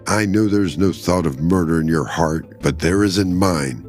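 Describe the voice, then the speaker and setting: A man's voice: low voice, normal speed, darkish tone, faint music behind, natural voice. A man voicing a bitter, vengeful wife driven mad by hatred in a dramatic story reading, hard and quavering. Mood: mad, hateful.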